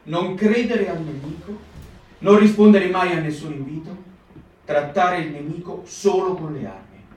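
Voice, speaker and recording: A man voicing a partisan calmly recounting the fugitives met in the countryside. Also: high-pitched, measured pace. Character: male partisan describing fugitives in hiding. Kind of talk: stage reading for radio. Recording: echoey room, distant mic.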